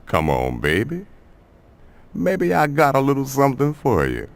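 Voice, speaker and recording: A man's voice: medium pitch, huge pitch swings, measured pace, creaky, exaggerated voice. A man playing a sleazy, oily boss in a video game, wheedling with patronising pet names. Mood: sleazy, coaxing. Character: sleazy male boss, oily, coaxing a woman. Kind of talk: video game cutscene acting.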